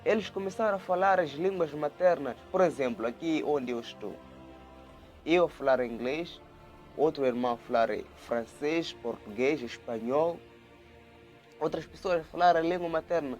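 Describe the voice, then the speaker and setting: A man's voice: a little high, normal speed, soft background music. A man giving a video Bible study, reading scripture aloud and explaining it in an earnest way, often repeating words and restarting. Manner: earnest, repeats words and restarts, grows lively when retelling. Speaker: Bible teacher.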